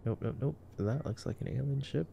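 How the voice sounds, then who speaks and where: A man playing a nerdy, affectionate boyfriend in an ASMR sleep-aid roleplay, soft-spoken, teasing and rambling as he comments on a movie. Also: mid-range voice, a little fast, gravelly, slight background noise, pitched above the performer's own voice. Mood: wry, amused.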